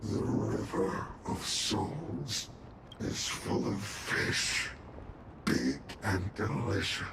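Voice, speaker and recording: A man voicing a slow, menacing video game character who makes portentous pronouncements in a gravelly voice. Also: on the low side, sing-song, echoey room, noisy background, exaggerated voice. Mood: ominous, deadpan.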